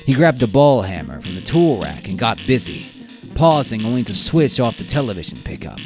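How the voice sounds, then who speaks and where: A man narrating a science fiction radio drama in a deliberate, theatrical way with dramatic pauses. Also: medium pitch, very animated. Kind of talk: dramatic scripted story narration. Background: music with guitar.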